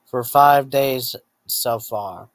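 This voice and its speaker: A man narrating a scripted emergency alert as an announcer, halting between short phrases and often repeating himself to rephrase. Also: medium pitch, no room sound.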